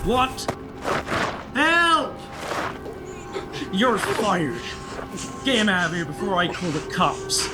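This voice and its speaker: A man plays a scornful factory man in a vintage comic drama over prominent music. His voice is high for a man, with a slangy, mocking delivery. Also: very animated, takes it slowly, pauses often, full character voice. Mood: alarmed, angry. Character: brash, scornful factory man berating a coworker.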